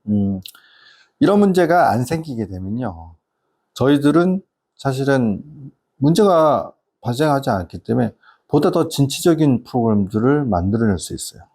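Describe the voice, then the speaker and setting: A middle-aged man with a gravelly, creaky voice and a dark, relaxed tone. He speaks as a consultant hosting an informal explainer for parents. His delivery is earnest and discursive, pausing to find the next phrase. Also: medium pitch. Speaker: educational consultant and channel host.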